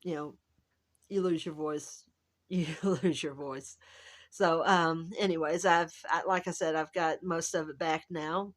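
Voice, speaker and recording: A woman with a hoarse, gravelly voice that is still recovering, chatting casually and rambling to camera at home as a travel vlogger. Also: sits low, steady tone, measured pace. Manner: rambling, chatty, self-interrupting. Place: at home, indoors.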